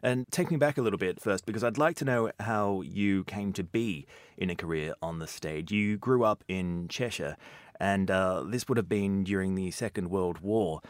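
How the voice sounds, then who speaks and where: A man's voice: deep voice, moderate pace, no echo. A male presenter reading a polished scripted introduction and then asking interview questions.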